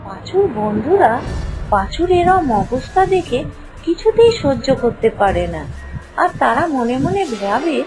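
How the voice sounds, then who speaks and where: Woman, scripted story narration, expressive intonation, unhurried, background music. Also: high voice, low-quality recording.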